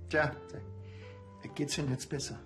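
A man's voice: on the high side, steady tone, measured pace, music in the background, natural voice. An actor playing a dry, grumbling small-town policeman with a Bavarian accent in a TV crime comedy. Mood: dry, sardonic. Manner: dry, sardonic.

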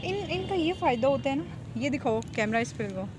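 A woman's voice: sits high, expressive, fairly quick. A woman vlog host chatting and bargaining while she films herself shopping at a busy outdoor market, friendly and teasing. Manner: friendly and teasing, asks prices. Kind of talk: casual chat and bargaining while filming. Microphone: handheld phone camera. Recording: phone recording in a noisy market.

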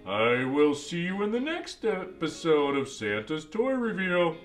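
A man's voice: fairly high pitch, wildly swooping pitch, measured pace. A man voicing a jolly old Santa Claus who reads a picture book to kids in a chatty, exclaiming way. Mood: jolly, delighted. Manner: exclaiming, chatty asides between lines. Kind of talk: animated storybook read-aloud. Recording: music behind the voice.

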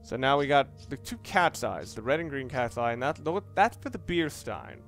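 A man's voice: mid-range voice, sing-song, normal speed, vocal fry, fairly bright tone. Male let's player, casual and rambling, very varied intonation, game music behind. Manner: rambling, self-correcting. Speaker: gaming video host. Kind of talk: casual let's play commentary.

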